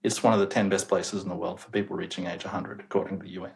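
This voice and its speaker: A man's voice: low voice, flat delivery, racing, gravelly. A young man, a demographer guest in an interview, talking emphatically and sounding incredulous about the data, chuckling now and then. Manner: emphatic, incredulous, chuckles while making points. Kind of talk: casual interview talk.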